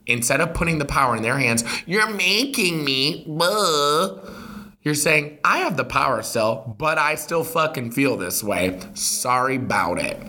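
Lively, bright-voiced man co-host. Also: on the high side, unhurried. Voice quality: raspy. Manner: animated, explaining with acted-out quotes. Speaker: podcast co-host. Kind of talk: casual podcast chat.

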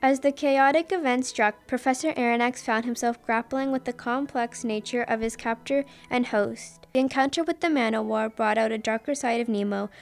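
A woman's voice: fairly high pitch, moderate pace, few pauses, soft background music, lightly acted. A woman reading scripted storybook narration for a radio theatre play.

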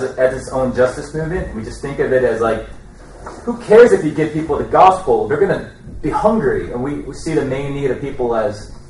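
A man in a teaching session explaining his thoughts casually and colloquially, recorded at a distance indoors. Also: mid-range voice. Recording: distant, echoey room with faint noise.

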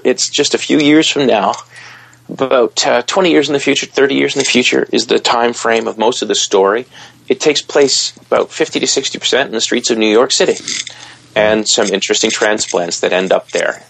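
Gravelly-voiced male author guest. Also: mid-range voice, animated, fairly quick, a bit bright, poor audio. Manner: gravelly, eager and talkative. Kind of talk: casual interview chat.

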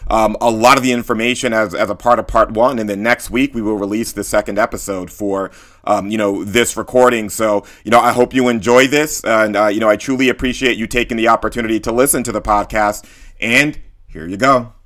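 A man hosting a podcast, introducing an episode in a halting, casual way. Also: fairly low pitch, steady tone, a bit bright. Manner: halting, lots of fillers and restarts. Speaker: podcast host.

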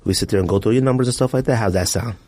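A man playing a slick, sleazy telemarketing manager with smooth, pushy sales patter in a comic anecdote. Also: lowish voice, very fast, darkish tone, no echo.

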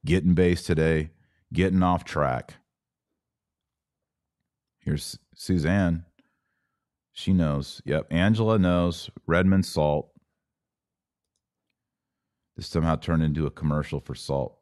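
A man's voice: rumbling low, slow, pauses often, a little mellow, dry recording, studio quality. A man speaking as a podcast host in an informal, emphatic, slangy way, chatting casually and answering live comments. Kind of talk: casual livestream chat answering viewer comments.

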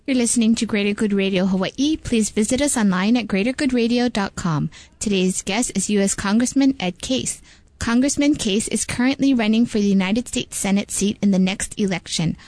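A woman's voice: mid-range voice, a little fast, darkish tone, no echo. A woman hosting a radio interview, putting questions to a guest and presenting the show.

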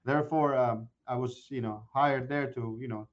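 A man in his forties, a guest mortgage broker, chatting casually in an interview and telling animated stories with many restarts. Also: medium pitch, normal speed, dull audio. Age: 40s. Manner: animated storyteller with frequent restarts.

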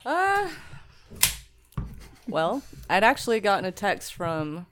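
Woman, band guest, lowish voice, very slow delivery, faint noise behind. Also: very animated. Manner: slow, giggly storytelling. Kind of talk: casual interview chat.

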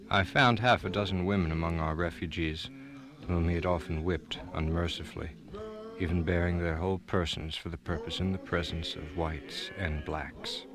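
A man playing a stern Union army officer, delivering a formal, deliberate report in a dramatic reading. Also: low voice.

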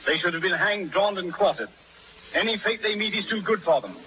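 A man's voice: sits high, expressive, brisk, a little hiss or hum. A man playing a harsh, contemptuous plantation colonel who barks orders in a 1930s radio drama. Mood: imperious, contemptuous. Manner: barking, imperious orders. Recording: muffled old radio recording, hall sound.

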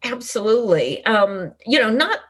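A woman's voice: fairly high pitch, sing-song, moderate pace, fairly bright tone, slightly rough. A middle-aged woman, an author and former intelligence officer, talking casually in an interview with frequent restarts. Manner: conversational, frequent restarts, laughs easily.

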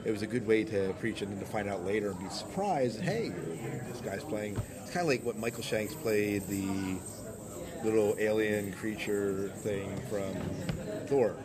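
A man's voice: on the low side, moderate pace. A man hosting a podcast interview as a fan, chatting casually in rambling, hesitant phrases. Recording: crowd chatter around the voice.

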